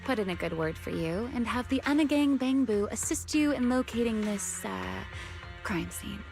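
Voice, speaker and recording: A woman's voice: fairly high pitch, expressive, vocal fry, over music. A woman playing a teasing, secretive, long-lived huntress in a video game, coy and poised. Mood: musing, sly. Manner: coy, playful, unhurried teasing. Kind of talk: video game story voice acting.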